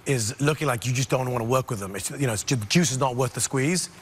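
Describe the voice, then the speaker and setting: A man in his forties from Zambia, a guest on a studio talk show, speaking in a confident, relaxed way. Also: mid-range voice, fast talker, slightly rough. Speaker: investor guest. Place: TV studio set. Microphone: lapel mic.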